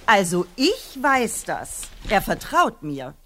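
A woman's voice: mid-range voice, huge pitch swings, takes it slowly, fairly bright tone, exaggerated voice. An actress playing a pushy yet flustered female neighbour in a radio play, insistent and hesitant. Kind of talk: German radio play acting.

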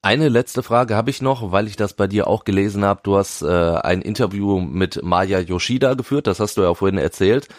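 A man hosting a podcast interview, relaxed and laughing along, closing the show. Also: lowish voice, moderate pace, hardly any pauses, no echo, studio quality. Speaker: podcast host.

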